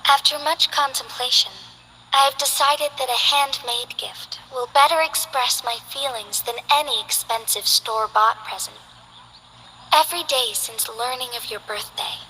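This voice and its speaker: A woman voicing a formal, earnest young female city magistrate in game character voice lines, speaking politely and with measured care. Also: sits high, sharp tone, a little hiss or hum, poor audio.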